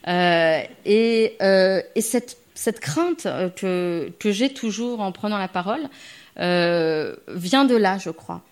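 A woman from France giving a reflective personal testimony to a gathering, thoughtful and hesitant, with frequent pauses and restarts. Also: medium pitch, animated. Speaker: speaker at a gathering.

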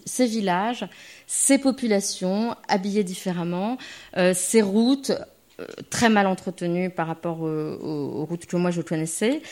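A woman from France giving a reflective personal testimony to a gathering, thoughtful and hesitant, with frequent pauses and restarts. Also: mid-range voice, a little mellow. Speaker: speaker at a gathering.

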